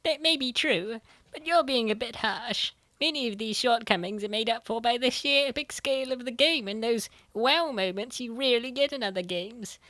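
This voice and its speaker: A woman's voice: a little high, normal speed, a bit bright, dry recording, close to an everyday voice. A woman voicing an earnest, nerdy young female gamer who explains her opinions in a comic dub. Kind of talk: comic dub dialogue, gaming banter.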